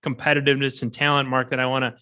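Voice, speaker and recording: Bright-voiced man hosting a podcast. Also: mid-range voice, flat delivery, racing, clean tone. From Southeastern Wisconsin. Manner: reflective, long winding questions. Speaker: podcast host. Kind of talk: podcast interview questions.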